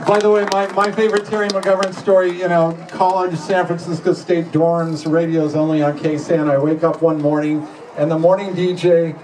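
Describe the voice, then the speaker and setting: A man's voice: fairly high pitch, flat delivery, a little fast, darkish tone. A man casually hosting a live reunion panel, introducing speakers and chatting. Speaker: panel host. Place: live event. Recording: distant mic, noisy event room.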